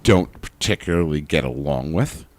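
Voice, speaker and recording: A man's voice: a bass voice, animated, moderate pace, no room sound. A man co-hosting a casual podcast chat, talking bluntly and emphatically and swearing freely. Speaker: podcast co-host.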